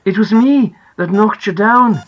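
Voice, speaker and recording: A woman's voice: medium pitch, measured pace, natural voice. A woman voicing an upset, apologetic Scottish father in an audiobook, speaking earnestly with a wobbly, pleading delivery. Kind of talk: audiobook character acting.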